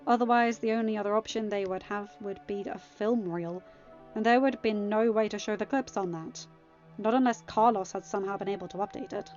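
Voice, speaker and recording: A woman's voice: mid-range voice, steady tone, a little fast, darkish tone, faint music behind. A woman reading fan fiction aloud as scripted audiobook narration. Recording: slightly dull, band-limited home recording.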